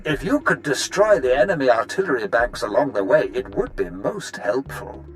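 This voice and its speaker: An actor playing a courtly, scheming older Sith lord in a video game, measured, theatrical and pompous in delivery. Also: male voice, mid-range voice, very animated, faint music behind, lightly acted. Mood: conspiratorial, composed. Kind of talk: video game cutscene acting.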